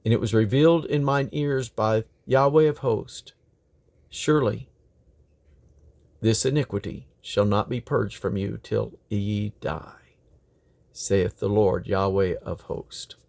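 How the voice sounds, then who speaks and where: A man's voice: lowish voice, slow pace, gravelly, a little mellow. A man reading scripture aloud in a solemn, declamatory manner. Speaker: Bible reader.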